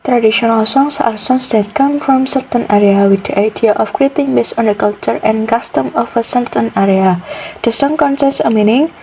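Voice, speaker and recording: A young Indonesian-accented woman hosts a student podcast, reading scripted lessons in a soft, warm tone. There is faint noise behind her voice. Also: on the high side, rough recording. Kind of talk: scripted educational podcast narration.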